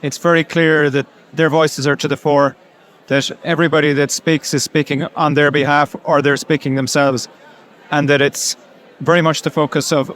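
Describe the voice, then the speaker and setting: A man's voice: on the high side, a bit bright. A man giving an articulate, formally worded interview statement outdoors in a city. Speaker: conference participant.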